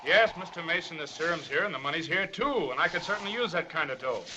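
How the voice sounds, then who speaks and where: A man's voice: a little high, animated, fast, natural voice. An actor playing a regretful, plain-talking family-man pilot, defensive, in old movie style. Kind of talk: old Hollywood melodrama acting. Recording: muffled, noisy old film soundtrack.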